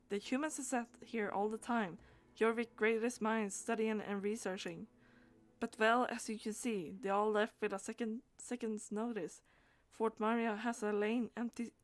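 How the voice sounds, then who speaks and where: A woman's voice: medium pitch, fairly even intonation, moderate pace, close to an everyday voice. A woman reading out a wordy, bookish game character who proudly loves old lore, declaiming with the odd stumble. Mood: grave, secretive.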